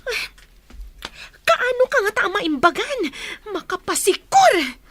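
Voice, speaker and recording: An actress playing a sharp-tongued, complaining woman in an Ilocano radio drama. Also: very high voice, sing-song, slow, a little hiss or hum, full character voice. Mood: irritated.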